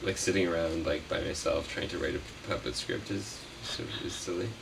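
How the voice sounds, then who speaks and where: A man being interviewed as a puppeteer, chatting casually in a halting, rambling way that often trails off. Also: low-pitched, creaky. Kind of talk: casual unscripted interview chat. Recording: noisy, distant mic.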